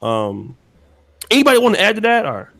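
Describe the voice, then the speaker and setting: A man's voice: sits high, huge pitch swings, fairly quick, a bit bright. An American man hosting a casual podcast discussion, talking loosely with many restarts and fillers. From United States. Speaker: podcast host.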